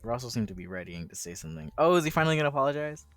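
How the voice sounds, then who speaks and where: A man's voice: mid-range voice, big pitch swings, rapid-fire, a bit bright, soft background music. A man reading a visual novel aloud in a casual way, breaking in with informal, sweary commentary. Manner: informal, profane, interjecting.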